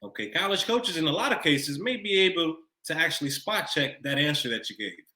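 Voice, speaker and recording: A man's voice: a little high, animated, a little fast, pushed and bright, echoey room. An American man giving advice as a recruiting expert, speaking emphatically and repeating his key points in plain, instructive language. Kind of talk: advice to camera for students.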